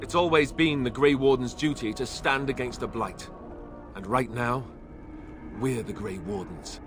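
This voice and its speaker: A man's voice: mid-range voice, unhurried, faint music behind, natural voice. Man playing an earnest young fantasy warrior. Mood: resolute. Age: young adult. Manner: earnest, expressive. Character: earnest young warrior, idealistic and wryly sceptical. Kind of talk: dramatic video game acting.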